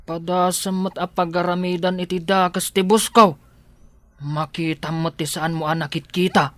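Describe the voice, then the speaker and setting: A man's voice: high-pitched, steady tone, moderate pace, a bit bright, dry recording. A man with an Ilocano accent playing a loyal, protective household helper in a radio drama, earnest and deferential. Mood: guarded, defiant.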